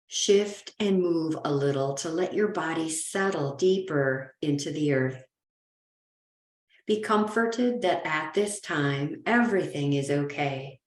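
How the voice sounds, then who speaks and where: A woman leads a guided relaxation in a roomy, echoing space. Her voice sits a little low, and she speaks very slowly and soothingly. She leaves gentle pauses between phrases as a yoga nidra guide.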